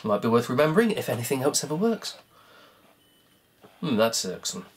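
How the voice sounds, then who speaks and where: A croaky voice muddles through a repair job, mocking itself in a loose, rambling running commentary. The pitch leaps up and down all the time, and there is a faint hiss underneath. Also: big pitch swings, moderate pace. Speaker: hobbyist repair vlogger. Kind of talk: thinking aloud while taking apart a cassette player.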